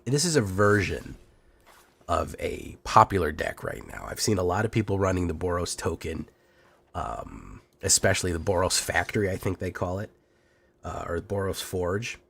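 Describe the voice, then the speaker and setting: A young man hosting a card game channel, talking through his deck in a playful showman patter while sick, his voice rough. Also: deep voice. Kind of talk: casual deck walkthrough.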